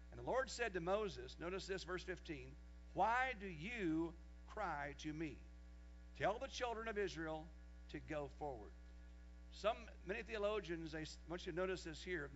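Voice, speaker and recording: Man, 60s, pastor preaching, reads scripture aloud, fairly high voice, dull lo-fi sound, faint hum. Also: lively intonation, unhurried. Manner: reads scripture aloud, rising loud when quoting. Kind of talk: sermon. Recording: dull lo-fi sermon recording with mains hum.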